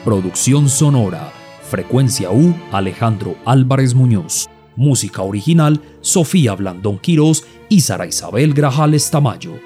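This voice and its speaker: A man's voice: mid-range voice, lively intonation, measured pace, mellow. A man reading scripted radio credits as an announcer. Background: music.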